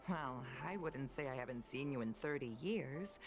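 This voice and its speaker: An actress voicing a dignified retired pilot in a video game, courteous and composed, speaking formally to an old comrade. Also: female voice, rumbling low, expressive, normal speed. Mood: nostalgic, courteous. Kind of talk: scripted video game voice acting. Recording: music under the voice.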